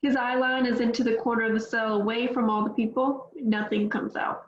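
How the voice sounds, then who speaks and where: Woman reading script action lines, higher voice, echoey dull mic with a bit of hiss. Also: fairly even intonation, a little fast. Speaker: narrator reading stage directions. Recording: roomy, dull mic with faint noise.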